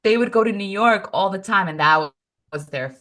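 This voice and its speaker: A woman from Morocco whose first language is Moroccan Arabic, telling a family story as a podcast co-host in an animated, emphatic way with frequent laughs. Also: medium pitch, normal speed. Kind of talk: casual storytelling chat.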